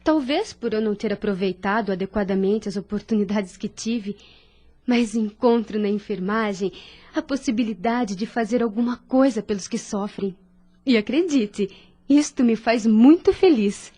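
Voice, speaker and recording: A woman playing a kind, devout young nurse in an audio drama, with a Brazilian accent and a gentle, earnest manner. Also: medium pitch, moderate pace, lightly acted. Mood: warm, grateful.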